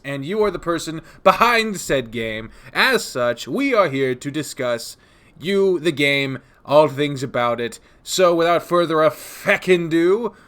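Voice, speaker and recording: A fairly high, bright-voiced man hosting a casual interview chat. He talks with loose, excitable energy, dropping swear words and laughing easily. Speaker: interview host.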